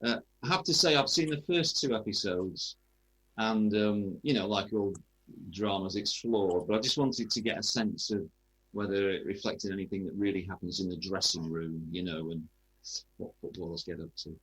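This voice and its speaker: A man's voice: sits low, moderate pace, fairly bright tone, breathy edge, low-quality recording. A man interviewing in a chatty sports radio style, hesitantly rephrasing his questions as he goes.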